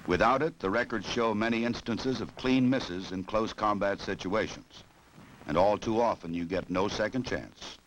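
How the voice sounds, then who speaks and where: An American man narrating a 1960s training film in a formal, measured, instructional way. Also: lowish voice, a bit bright. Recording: noisy 1960s film soundtrack, clicks.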